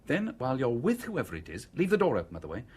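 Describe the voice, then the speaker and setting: A man in a radio drama playing a cool-headed, commanding British gentleman schemer, brisk, wry and bossy. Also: mid-range voice, huge pitch swings, slightly rough.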